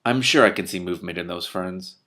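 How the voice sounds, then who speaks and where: A man's voice: low voice, fairly quick, pushed and bright. A man playing a cautious, casually cruel villain who rides a dragon, taunting in a storybook reading.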